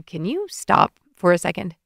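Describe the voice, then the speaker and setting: A woman voicing an easygoing, puzzled young man, dropping her voice lower and softer. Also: very animated, normal speed, creaky, no room sound, clean studio sound, exaggerated voice.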